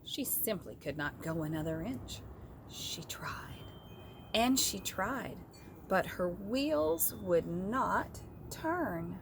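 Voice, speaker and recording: Slow, animated woman reading a storybook. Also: mid-range voice, background noise. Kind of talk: expressive children's read-aloud narration.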